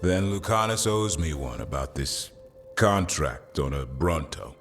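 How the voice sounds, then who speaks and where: An actor playing a gruff but sociable veteran monster fighter in a video game. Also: male voice, rumbling low, animated, measured pace, music in the background, exaggerated voice. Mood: jovial. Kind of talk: video game cutscene acting.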